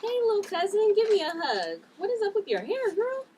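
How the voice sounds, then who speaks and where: A woman's voice: very high-pitched, wildly swooping pitch, fairly quick, a little mellow, distant mic, exaggerated voice. A woman reading the part of a caring, exasperated sister who works as a TV producer, in a family drama table read. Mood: warm, playful. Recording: noisy room, off the mic.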